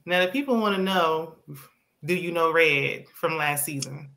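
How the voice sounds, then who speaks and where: A woman's voice: deep voice, a little slow, a bit bright. A woman hosting a casual live-stream interview over a video call, chatty and playful, laughing often and using casual slang. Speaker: host of a live-stream show. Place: indoor living room.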